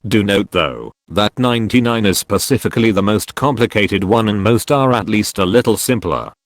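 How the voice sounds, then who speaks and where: A man reading online comment threads aloud as scripted narration, steady, with dips on short replies. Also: lowish voice, no echo, clean studio sound. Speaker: narrator reading forum posts.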